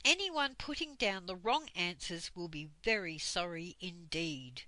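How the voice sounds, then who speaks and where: A woman voicing a cross, fierce old schoolmistress in a storybook reading, with a sharp, commanding delivery and a loud, raised voice. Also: fairly low pitch, animated, measured pace, dry recording, rough recording. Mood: stern.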